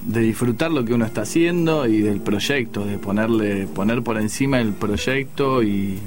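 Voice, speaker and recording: A man's voice: lowish voice, normal speed. A man with a Rioplatense accent, a guest musician answering interview questions thoughtfully and with hesitant restarts. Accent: Rioplatense Spanish. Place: radio studio.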